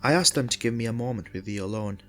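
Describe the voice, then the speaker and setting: An actor playing a polite, inexperienced young detective in a podcast drama, formal and slightly hesitant. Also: male voice, medium pitch, fast talker, faint music behind, lightly acted. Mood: eager, respectful.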